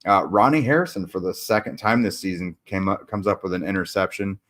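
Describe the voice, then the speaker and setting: Low-voiced man recapping a football game. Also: brisk. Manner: many "uh" fillers and restarts, steady recap tone. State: losing his voice. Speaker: podcast host. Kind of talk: casual sports analysis.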